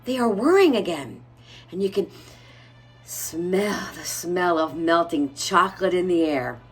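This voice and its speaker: A woman voicing astonished townspeople in a storybook, exclaiming excitedly with a raised voice. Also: lowish voice, unhurried, breathy edge, soft background music. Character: astonished, gossiping townspeople crying out.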